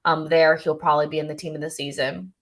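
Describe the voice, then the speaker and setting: A woman's voice: low voice, little pitch movement, fast. A young woman hosting a live stream, reading out chat questions and answering them in a casual, thinking-aloud way full of ums and restarts.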